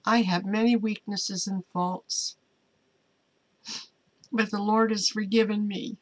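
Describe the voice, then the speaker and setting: Slow-talking older American woman preaching. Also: mid-range voice, steady tone, low-quality recording. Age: older, 60s or 70s. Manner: halting, earnest. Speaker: online ministry host. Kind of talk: informal devotional talk to camera, part preaching. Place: small home office, indoors.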